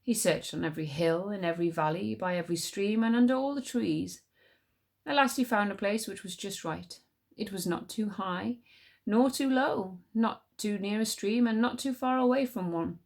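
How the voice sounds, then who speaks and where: A woman's voice: mid-range voice, moderate pace, creaky, a little mellow. Woman reading a folk tale aloud, expressively. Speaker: teacher reading stories aloud. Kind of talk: expressive storybook read-aloud.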